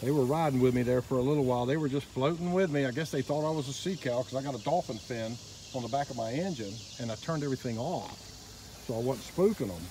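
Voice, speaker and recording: A man filming from a small boat on a river outdoors, giving excited, quiet running commentary into his handheld phone. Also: mid-range voice, quick pace. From Northeast Florida. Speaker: fishing vlogger. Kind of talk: casual narration while filming. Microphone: handheld phone camera. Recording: outdoor noise, insects behind.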